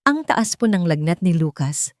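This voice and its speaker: A woman voicing a gentle, timid young housemaid who speaks softly and anxiously to her employer. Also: fairly low pitch, sing-song, moderate pace, no echo, clean studio sound. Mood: worried.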